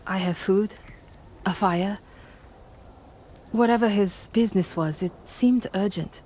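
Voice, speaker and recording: An actress playing a humble, helpful peasant woman in a video game, soft-spoken, hushed and deferential. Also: mid-range voice, slow, a little hiss or hum, natural voice. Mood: timid, helpful. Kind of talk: video game cutscene acting.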